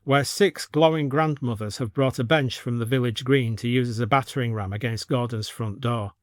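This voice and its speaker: A man's voice: medium pitch, moderate pace, no echo, clean studio sound. A man reading scripted narration for an audio story as the storyteller.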